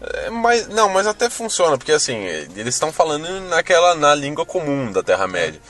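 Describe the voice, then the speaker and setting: A man with a Brazilian Portuguese accent, co-hosting a casual film-review podcast and talking animatedly with plenty of swearing. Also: brisk. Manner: lively, animated, swears freely, jumps into high-pitched outbursts. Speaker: podcast co-host.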